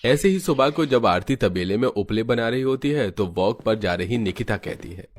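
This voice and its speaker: A man's voice: medium pitch, expressive, moderate pace. A man reading scripted narration for a family cartoon story.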